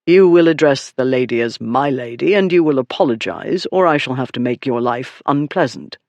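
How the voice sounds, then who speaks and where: A man voicing a calm, haughty aristocratic duke with a cool, low, dryly disdainful voice in an audiobook. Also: gravelly, no echo. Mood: cool menace. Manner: dry, unhurried, disdainful.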